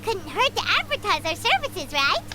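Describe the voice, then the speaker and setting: An actress voicing a tiny floating girl companion who is chirpy and cheeky, with a high, squeaky, childlike voice and a teasing way of talking about herself by name. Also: big pitch swings, normal speed, noisy background. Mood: playful. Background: game music.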